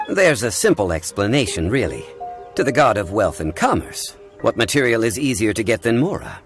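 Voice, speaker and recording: A man playing a calm, learned consultant in a video game, speaking in a measured, formal, scholarly way. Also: lowish voice. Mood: calmly reasoning. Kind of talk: scripted video game dialogue. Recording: music under the voice.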